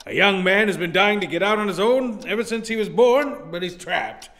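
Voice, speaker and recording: A man's voice: high voice, normal speed, a bit bright. An actor playing a crafty, domineering old businessman in a radio play, condescending and blustering. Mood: sly, condescending.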